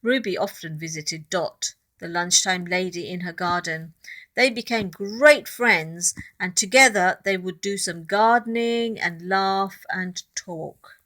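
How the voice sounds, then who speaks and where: A woman reading a picture book aloud expressively, pausing to speak directly to child listeners. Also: lowish voice, fairly bright tone.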